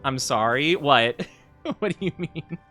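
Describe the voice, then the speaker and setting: Male streamer, medium voice, teasing and mock-outraged with chat, slightly worn-out voice, soft music bed. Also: mid-range voice, lively intonation, slow pace. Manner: playful and mock-offended, bursts of laughter. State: losing his voice. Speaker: VTuber streamer. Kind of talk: casual live-stream chat with viewers.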